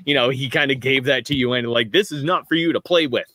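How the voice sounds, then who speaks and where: A man's voice: medium pitch, fast, sharp tone, no echo. A man narrating as game master in a tabletop roleplaying game, rambling with frequent restarts. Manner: rambling, many restarts, laughs often.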